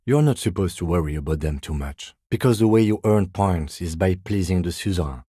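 An actor playing a calm, methodical middle-aged police lieutenant in a video game, measured, understated and dryly wry, with a husky voice. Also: male voice, deep voice, no echo, clean studio sound. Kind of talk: video game character dialogue.

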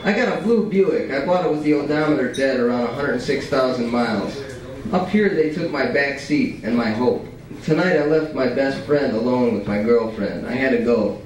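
A man's voice: medium pitch, a little mellow, a little hiss or hum. A young man giving a live reading of his writing in a colloquial, profane way. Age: young adult. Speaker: writer reading his work. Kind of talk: live reading of prose and poetry at a microphone. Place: small dim cafe, indoors. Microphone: stand microphone, picked up by far camera. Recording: echoey cafe, distant camcorder, crowd chatter. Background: audience chatter.

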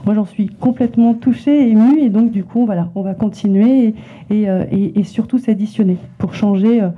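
A woman's voice: mid-range voice, moderate pace. Woman illustrator chatting at a library event, lots of restarts, thin tinny sound. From France. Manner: hesitant, many repetitions and restarts. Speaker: illustrator and author at a library talk. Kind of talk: public talk, presenting her work. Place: library event room with small audience. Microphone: handheld microphone passed around.

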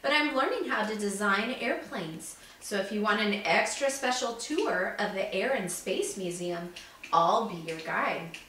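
A woman's voice: mid-range voice, lively intonation, measured pace, pushed and bright. Woman reading as easygoing young man, echoey room. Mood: friendly. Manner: friendly, easygoing. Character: good-natured young male student assistant. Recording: echoey room, distant mic, background noise.

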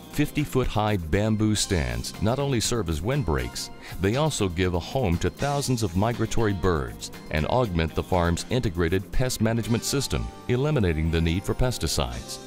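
A man's voice: low voice, a little mellow. A man reading scripted promotional documentary narration as a narrator.

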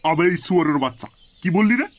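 A man plays a comedy cartoon crew boss. He blusters, swears and threatens, quick to lose his temper. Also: on the high side, lightly acted. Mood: irritated, bossy. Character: foul-mouthed hot-tempered gang boss of a sound-system crew.

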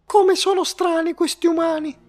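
A tiny, pert cartoon creature with an extremely high voice. It speaks in a knowing, exclaiming way with music behind it. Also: female voice, steady tone, moderate pace, dark tone. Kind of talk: children's cartoon character acting.

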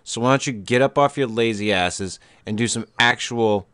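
A man's voice: mid-range voice, normal speed, fairly bright tone, clear, resonant tone, no room sound, clean studio sound. A man co-hosting a casual podcast, blunt and profane, breaking into rants. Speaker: podcast co-host. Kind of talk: casual chat.

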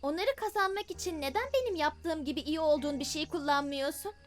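A woman voicing a cheerful, feisty young female imp in a fan dub of a cartoon, bubbly and affectionate but sharp when hurt. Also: sits high, brisk, close to an everyday voice. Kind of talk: fan dub of animated series. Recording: music playing behind.

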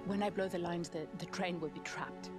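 This is a fierce young rebel fighter in a war video game, voiced by a woman. She speaks bluntly, often close to a whisper. A loud orchestral score fills the background. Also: fairly low pitch, fairly even intonation, normal speed, close to an everyday voice. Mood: resolute. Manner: blunt, hushed and intense. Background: game score music.

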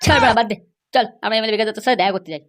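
A woman's voice: on the high side, rapid-fire, natural voice. A woman voicing a crude, loyal male henchman in a comedy cartoon, gossipy and reassuring. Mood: gossipy, dismissive.

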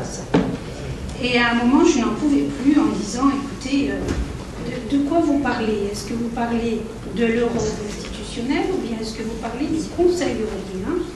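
An older woman speaks earnestly, pausing often with fillers, her voice sitting a little high. She is heard from a distance through a table microphone in a large, very echoey conference room. A steady background hiss runs underneath. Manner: earnest, hesitant, many fillers. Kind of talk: spoken remarks at a public assembly. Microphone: table gooseneck microphone. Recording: echoey room from far off, noisy.

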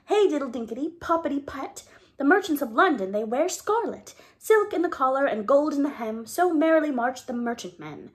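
A woman's voice: sits high, expressive, normal speed, pitched above the performer's own voice. A woman voicing a crowd of tiny, cheeky mice who sing and chant rhymes in twittering voices. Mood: sing-song. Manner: sing-song, twittering, rude.

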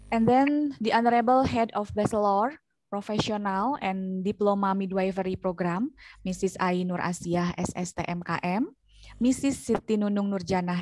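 A woman's voice: medium pitch, a little mellow, no room sound. Young indonesian woman, formal MC, headset mic, dry close sound. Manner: formal, reading announcements, mixing English and Indonesian. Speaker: event MC. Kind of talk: formal event hosting. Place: small office, indoors.

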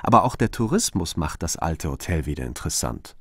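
An actor playing a terse, dry male investigator in a crime audio drama, husky and matter-of-fact. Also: low voice, sing-song, brisk, a bit bright, dry recording, exaggerated voice. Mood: dry, observant.

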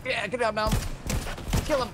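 A young man in casual gaming chat, excitable and playful, teasing and coaching his friend. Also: very high voice, moderate pace, very noisy.

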